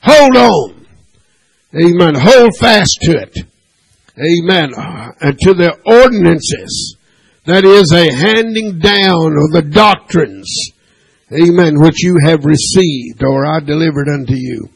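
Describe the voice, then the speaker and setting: A man's voice: on the high side, slow pace, creaky, slightly rough. A man preaching a sermon to his church, dotting his points with "amen" and asking the congregation questions, and reading scripture aloud. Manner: preaching with frequent "amen" and call-and-response questions; reads scripture aloud in King James wording. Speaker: pastor. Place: church sanctuary.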